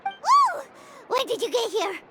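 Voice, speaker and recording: Squeaky, very high childlike fairy companion. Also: female voice, huge pitch swings, unhurried, exaggerated voice. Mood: excited, confident. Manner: refers to self in third person, perky. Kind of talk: video game voice acting. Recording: music behind the voice.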